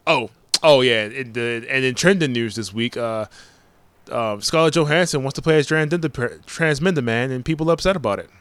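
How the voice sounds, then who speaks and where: A man's voice: medium pitch, fairly quick, a bit bright, clean tone, no room sound. A man in his forties hosting a casual podcast chat, rambling through news items with lots of hesitant ums. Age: 40s. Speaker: podcast host.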